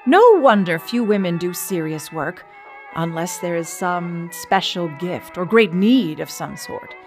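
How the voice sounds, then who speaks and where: A woman playing an earnest, witty suffragist of the early 1900s in a dramatic, conversational reading of letters, with opinionated wryness. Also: lowish voice. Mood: indignant, earnest.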